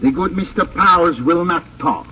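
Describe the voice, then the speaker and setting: An actor playing a smug, suave crime boss in a 1950 radio drama, speaking in formal, condescending, sly phrasing. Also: male voice, high-pitched, moderate pace, pushed and bright. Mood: smug, dismissive. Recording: muffled, hissy old radio transcription, distant.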